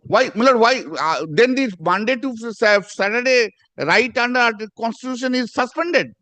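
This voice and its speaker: An older man with a Bengali Indian accent pleads a case in court. His voice is high-pitched and swings up and down a lot. He presses his point insistently, repeating short words and interrupting. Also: lively intonation, moderate pace, bright, forceful tone. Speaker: lawyer arguing before a judge. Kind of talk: courtroom argument.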